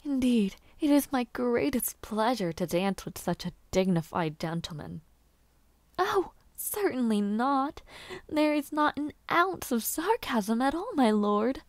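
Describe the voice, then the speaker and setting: Woman acting a slow-paced, bashful young noblewoman. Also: on the high side, very animated, no room sound. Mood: mock-formal, giggly. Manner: bashful, halting stammers, giggly, formal old-fashioned wording. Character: young noble lady, aspiring knight, bashful and playful. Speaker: roleplay voice actor. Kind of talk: intimate scripted audio roleplay.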